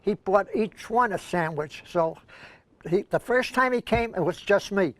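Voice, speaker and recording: Senior male co-host, Brooklyn accent, higher-pitched voice, anecdotal and chatty, dry recording. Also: lively intonation, unhurried, fairly bright tone, no room sound. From Brooklyn, New York, USA. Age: older, senior. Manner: rambling, anecdotal storytelling. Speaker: co-host of a senior news show. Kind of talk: casual reminiscing chat.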